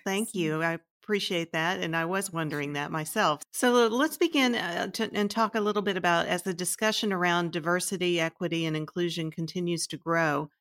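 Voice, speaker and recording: A woman's voice: fairly low pitch, brisk, hardly any pauses, fairly bright tone. A woman hosting a podcast, asking questions in a polite, encouraging way. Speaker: podcast host. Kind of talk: interview questions.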